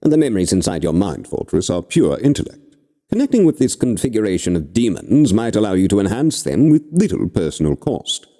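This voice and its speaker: A knowing spirit voice, as a man puts it on, dry and explanatory with cautioning asides. It sits higher than the man's own deep voice and swings widely in pitch, with a long reverb around it. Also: big pitch swings, brisk, warm tone. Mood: wry. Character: wry spirit voice in the mind, knowing adviser.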